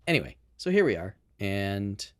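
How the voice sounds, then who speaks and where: A man with a low voice co-hosts a podcast. He talks casually, telling things in a loose, rambling way into his own microphone. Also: sing-song, moderate pace, dry recording. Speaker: podcast co-host. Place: house room with improvised soundproofing. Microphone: own podcast microphone on a boom arm.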